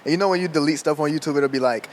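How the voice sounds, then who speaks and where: A man's voice: on the high side, rapid-fire, slight background noise. A man in his twenties chatting casually on a podcast, loose and slangy, laughing as he tells stories. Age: 20s. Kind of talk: casual chat.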